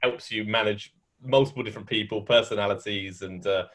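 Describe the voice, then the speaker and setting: A man's voice: lowish voice, fairly even intonation, fairly quick, a bit bright. A man hosting an online meet-up and moderating a panel, speaking casually with many ums and restarts. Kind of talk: casual moderating on a video call.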